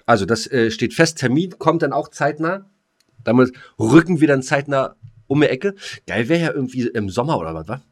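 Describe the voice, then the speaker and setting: A man's voice: medium pitch, expressive, brisk. A man co-hosting a podcast in casual chat, colloquial and given to short, clipped remarks. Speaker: podcast co-host.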